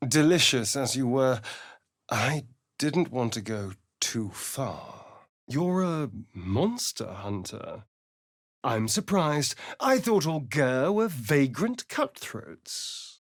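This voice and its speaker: A British actor playing a sly, vain vampire spawn rogue in a video game, with an arch, theatrical, drawling delivery. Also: male voice, medium pitch, very animated, takes it slowly, dry recording, clean studio sound, exaggerated voice. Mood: arch, teasing, then disdainful. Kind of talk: dramatic video game voice acting.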